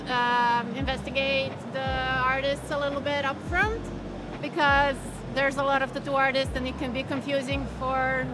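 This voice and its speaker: High-voiced Croatian woman, measured. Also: little pitch movement, over music. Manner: measured, thoughtful, with pauses. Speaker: tattoo and piercing studio owner. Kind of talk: interview at a convention. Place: busy convention hall.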